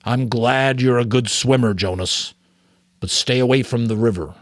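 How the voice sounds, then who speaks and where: A man voicing a grave, weary, thoughtful old mentor in an audiobook, speaking in a deliberate, solemn way. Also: medium pitch, no room sound, clean studio sound, natural voice. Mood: pensive. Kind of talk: audiobook character reading.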